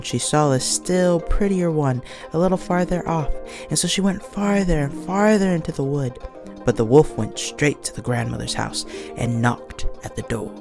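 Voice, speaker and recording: A woman narrating a scripted children's story as an expressive read-along storyteller. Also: sits low, creaky. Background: soft music.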